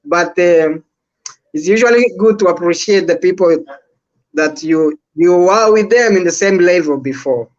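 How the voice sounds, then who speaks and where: A man's voice: sits high, unhurried. A man with an East African accent chatting casually as a guest on a live stream, over a dull online call. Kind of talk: casual chat.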